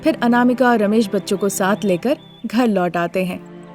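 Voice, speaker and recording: A woman's voice: medium pitch, normal speed, a little mellow, soft background music. Woman narrating a moral story.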